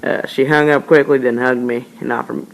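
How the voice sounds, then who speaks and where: An amateur reading a novel aloud in a halting, informal way, on a noisy home recording. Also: mid-range voice, steady tone, creaky. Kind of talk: amateur read-along narration of a novel.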